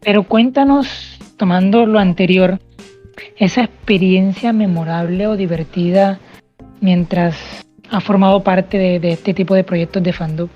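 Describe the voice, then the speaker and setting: A woman's voice: medium pitch, steady tone, a little slow, lo-fi sound, faint music behind. A woman hosting an interview podcast in a conversational way, asking questions and responding to her guest. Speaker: interview host.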